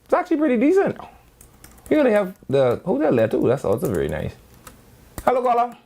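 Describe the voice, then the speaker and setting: A woman's voice: wildly swooping pitch, fast talker, gravelly, mellow. Wry Bahamian woman with swooping voice. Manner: wry, joking, drops low for asides. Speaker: radio show co-host. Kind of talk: casual call-in radio banter.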